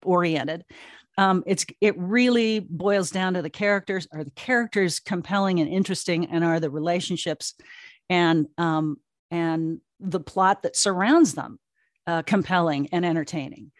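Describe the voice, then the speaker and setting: A woman in her sixties speaking conversationally as an interview guest, telling her story in a chatty, animated way. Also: fairly low pitch, moderate pace, no echo, clean studio sound. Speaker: guest, audio drama producer and creative officer.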